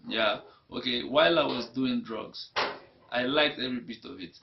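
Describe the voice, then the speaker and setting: A man's voice: mid-range voice, moderate pace, gravelly, noisy background. A young Nigerian man with a Nigerian accent, telling his life story in an interview in a reflective way that often repeats itself. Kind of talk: personal testimony. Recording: dull lo-fi recording.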